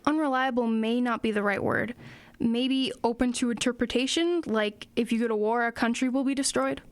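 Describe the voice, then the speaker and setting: High-voiced, level-headed inquisitive girl, acted by a woman. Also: normal speed, lightly acted. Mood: puzzling it out. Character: Becky, practical, inquisitive small-town girl, level-headed friend. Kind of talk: audio drama acting.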